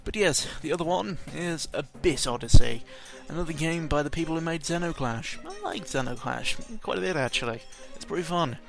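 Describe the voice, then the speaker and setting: A man's voice: fairly high pitch, lively intonation, normal speed, few pauses. Rambling man chatting over game music. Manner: rambling, jokey, self-interrupting with exaggerated emphasis. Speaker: video game vlogger. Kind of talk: casual rambling audio log commentary on games. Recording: music playing behind the voice. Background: clear background game music.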